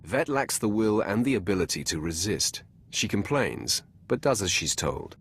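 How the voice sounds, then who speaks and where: Casting: cold game villain master, self-assured and terse, gravel in the voice, widely swinging intonation. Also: male voice, lowish voice, sing-song, moderate pace, fairly bright tone, a little hiss or hum. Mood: cool, dismissive. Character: commanding male Sith lord, cold, domineering master. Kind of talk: dramatic video game voice acting.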